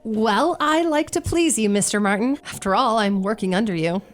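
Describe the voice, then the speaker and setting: Woman playing a high-voiced, plucky shop girl. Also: normal speed, faint music behind, lightly acted. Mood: eager, flattering. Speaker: actor. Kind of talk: radio theatre acting.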